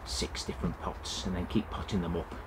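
A man's voice: low-pitched, steady tone, fairly quick, a little hiss or hum. A man talking casually through an allotment vlog outdoors, with plenty of "uh" fillers. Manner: plain-spoken, many "uh" fillers. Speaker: allotment gardening vlogger. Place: outdoor allotment, in and around a greenhouse.

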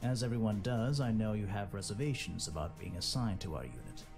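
An actor playing a stern, steady major giving a formal, measured military briefing in a space game. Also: male voice, on the low side, vocal fry. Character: stern, steady male squadron leader, a major. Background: loud music.

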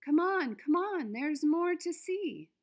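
A woman voicing a friendly, eager mouse in a children's storybook, with an inviting, excitable manner. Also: high voice, slow pace, a little hiss or hum, pitched above the performer's own voice, exaggerated voice. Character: eager, friendly male mouse showing off a house.

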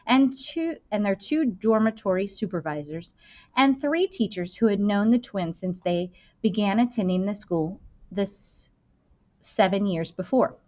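A woman reads a children's novel aloud with expressive, deliberate phrasing. Her pitch sits in the middle for a woman. The recording is slightly dull and lo-fi, like a simple indoor setup. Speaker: school librarian reading aloud. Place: indoor room. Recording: dull, lo-fi webcam-style sound.

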